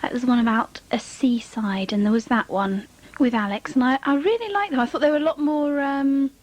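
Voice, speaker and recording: A woman with a high voice chats casually in an interview about her acting work. She is hesitant and giggly, feeling her way through her memories. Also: fairly quick, darkish tone, dull audio. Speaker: voice actress being interviewed.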